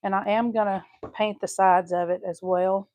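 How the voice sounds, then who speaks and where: A woman's voice: mid-range voice, fairly even intonation, moderate pace, a little mellow. Texas lady crafting live, chatty and homey, talks to viewers while she paints. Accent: Southeast Texas. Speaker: craft channel host. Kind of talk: casual live-stream craft tutorial.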